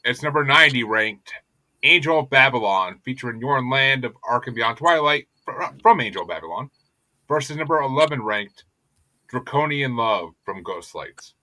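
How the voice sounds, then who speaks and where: Podcast host, male, swears constantly, rambles fast, bright edge, dry close mic. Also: mid-range voice, no room sound, low-quality recording. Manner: profane, animated, rambling. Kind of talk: casual podcast chat.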